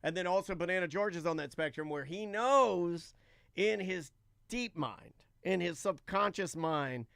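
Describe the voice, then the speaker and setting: A man's voice: sits high, animated, unhurried, creaky, a bit bright, smooth, dry recording, clean studio sound. A man hosting a casual comedic talk show, bantering emphatically and repeating his phrases.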